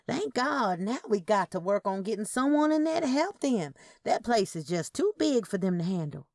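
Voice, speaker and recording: A woman's voice: medium pitch, sing-song, normal speed, darkish tone, no echo, clean studio sound, voice pushed up. A woman voicing a caring, practical Southern woman who fusses over elderly relatives, solicitous and down-to-earth, in an audio story. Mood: relieved, weary.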